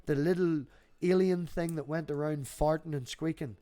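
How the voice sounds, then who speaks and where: Male, middle-aged, slightly high register, casual and sweary livestream talker who spins anecdotes. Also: steady tone, moderate pace, dark tone. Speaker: livestream host.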